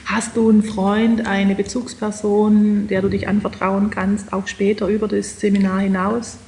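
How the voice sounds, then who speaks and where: A woman's voice: medium pitch, flat delivery, normal speed, darkish tone, a little hiss or hum. A woman interviewing a participant, asking plain encouraging questions. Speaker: interviewer, seminar leader.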